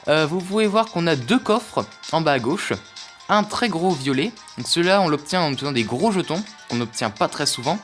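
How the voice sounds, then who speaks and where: A man's voice: fairly high pitch, lively intonation, normal speed, fairly bright tone. Hesitant man commenting on gameplay. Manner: hesitant, many fillers and restarts. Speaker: gaming youtuber. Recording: music playing behind. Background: game music.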